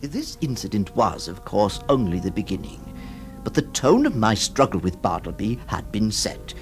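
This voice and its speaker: A man's voice: lowish voice, sing-song, moderate pace, soft background music. An actor playing a flustered, hesitant lawyer who stammers, also narrating his story in a radio drama. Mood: reflective. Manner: stammering, exasperated, theatrical.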